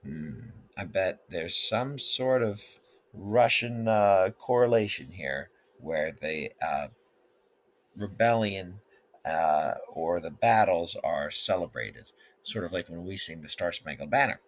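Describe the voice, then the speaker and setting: A man's voice: low-pitched, animated, takes it slowly. A male teacher, guiding students through an instructional read-aloud lesson.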